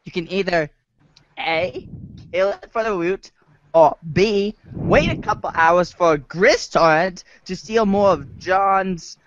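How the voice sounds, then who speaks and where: A man's voice: sits high, takes it slowly, no echo, lo-fi sound. An amateur actor, a man, playing a sassy, slangy teenage boy as a drawling valley girl, in a reader's theater recording. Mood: flippant.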